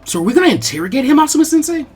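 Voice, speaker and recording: Give me a man putting on a loud, mischievous young ninja boy for an anime-style story, voice jumping up high, pitch swinging freely, music underneath. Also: big pitch swings, racing. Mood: exasperated, excitable.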